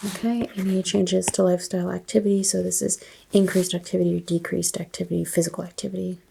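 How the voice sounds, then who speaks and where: A soft-spoken woman doing an ASMR doctor roleplay, asking unhurried exam questions and slipping into whispers. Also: fairly low pitch, level tone, vocal fry, background noise.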